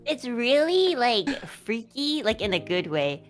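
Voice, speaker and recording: A woman's voice: a little high, big pitch swings, unhurried, soft background music. A woman whose first language is Chinese, chatting casually and awkwardly on a stream, giggling and praising her friend. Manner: giggly, awkward, warmly complimenting. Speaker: guest streamer.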